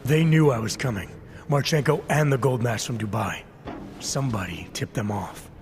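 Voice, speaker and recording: An actor playing a grim, guarded cyborg agent in a video game, speaking in a low, clipped way. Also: male voice, normal speed, gravelly, a little hiss or hum, natural voice. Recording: game cutscene with loud score.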